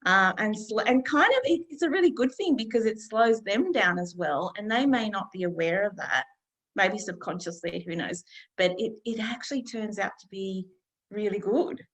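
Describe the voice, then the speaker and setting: A woman in her fifties, a podcast guest, speaking calmly and unhurriedly with many pauses. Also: medium pitch. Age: 50s. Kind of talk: reflective conversational interview.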